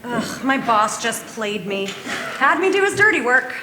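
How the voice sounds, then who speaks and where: A woman's voice: fairly high pitch, very animated, measured pace, noisy background. An actress playing a harried woman who runs a food festival, hesitant and full of fillers, in a staged reading. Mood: earnest. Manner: flustered, hesitant with many fillers. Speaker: festival organizer. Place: theater stage. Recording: roomy live stage sound.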